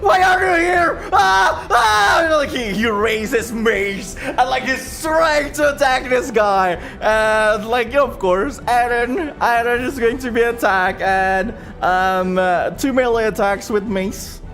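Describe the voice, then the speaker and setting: A man with a high voice acts as game master on a livestream, at an unhurried pace. He narrates the action animatedly and haltingly, working out dice rolls aloud, with music behind him. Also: fairly bright tone.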